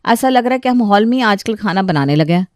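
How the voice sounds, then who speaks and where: A woman's voice: medium pitch, expressive, fast, darkish tone, dry recording, studio quality, natural voice. Woman playing a chatty, grumbling young daughter-in-law. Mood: complaining. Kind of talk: animated Hindi family story dialogue.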